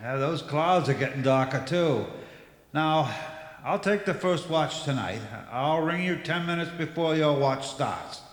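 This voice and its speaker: A man's voice: on the high side, animated, a little slow. An actor playing a skeptical, matter-of-fact male head lighthouse keeper in a radio drama. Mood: steady, practical. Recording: echoey hall reverb, faint cassette noise.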